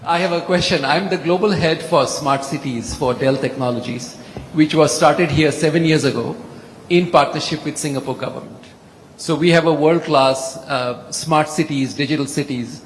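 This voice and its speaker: A man's voice: a little high, normal speed. A middle-aged or older man with an Indian accent, formally and deferentially addressing a leader from the audience as an executive. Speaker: tech executive speaking from the audience. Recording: echoey hall with background noise.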